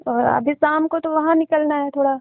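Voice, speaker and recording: A woman's voice: high voice, steady tone, moderate pace, vocal fry, dry recording. A woman with an Uttar Pradesh accent in an informal phone conversation, deferential and hedging. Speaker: job applicant. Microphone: phone line. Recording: narrowband tinny phone call recording.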